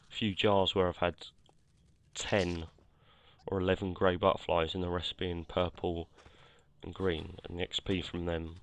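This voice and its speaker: A man, croaky from a cold, casually talking over his gameplay with lots of fillers and self-corrections. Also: low voice, a bit bright. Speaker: gameplay commentator. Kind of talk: casual game commentary.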